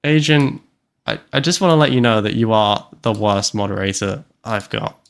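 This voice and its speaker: A man's voice: lowish voice, moderate pace, clean tone. A young man streaming a game, joking and rambling with lots of fillers. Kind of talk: casual commentary while playing.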